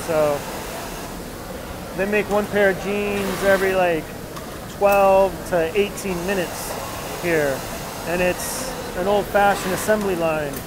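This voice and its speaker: High-voiced man touring a noisy factory. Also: fairly even intonation, moderate pace. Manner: explaining as he points things out. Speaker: denim designer touring a factory. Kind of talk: casual walkthrough narration. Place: large factory floor, indoors. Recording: loud machine and air conditioning noise.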